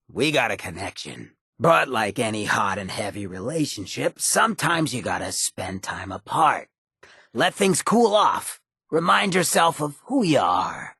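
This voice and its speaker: An actor voicing a roguish, wry ghoul mayor in a video game, with a raspy voice and a sly, streetwise, swaggering drawl. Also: male voice, medium pitch, a bit bright, dry recording, clean studio sound, heavily acted. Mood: earnest, resolute. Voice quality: raspy, gravelly. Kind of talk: video game character dialogue.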